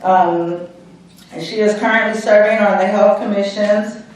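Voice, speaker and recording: A woman's voice: medium pitch, steady tone, far from the mic. Woman emcee stumbling through an intro, echoey hall. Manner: halting, stumbles over words. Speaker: event emcee. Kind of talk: reading an introduction. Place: event hall.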